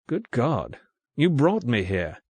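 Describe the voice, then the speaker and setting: A man voicing a cool, wry male British secret agent who answers in crisp, terse lines. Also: medium pitch, huge pitch swings, slow pace, a little mellow, dry recording, full character voice. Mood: clipped, wry.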